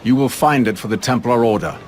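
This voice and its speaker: An actor playing a commanding, coldly determined Templar leader with formal authority in a video game drama. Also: male voice, medium pitch, fairly quick, slight background noise, natural voice.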